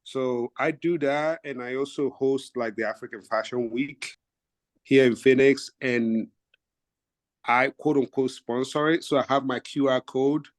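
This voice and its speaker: A man from Nigeria, a real estate agent calmly walking his team through his methods on a video call. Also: medium pitch, a little slow, no echo. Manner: steady, methodical, stacks up examples with fillers. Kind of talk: casual explanation in a team video meeting.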